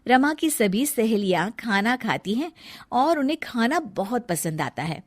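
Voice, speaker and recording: A woman's voice: a little high, very animated, measured pace. A woman narrating a scripted children's story as a storyteller.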